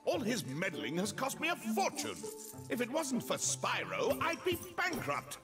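A man's voice: very high-pitched, huge pitch swings, unhurried, over music, exaggerated voice. An actor playing a pompous, wealthy male bear official in a video game, boasting and blustering. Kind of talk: video game cutscene acting.

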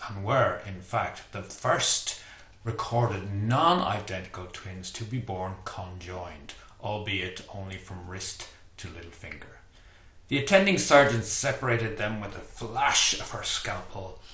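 An older Irish man with a low voice reads from his own book in a lively, playful storytelling way. He's at a desk in his home study on a webcam, and the room rings with a noticeable echo. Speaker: author reading his book. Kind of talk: reading a book passage aloud. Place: home study with bookshelves, indoors. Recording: echoey room.

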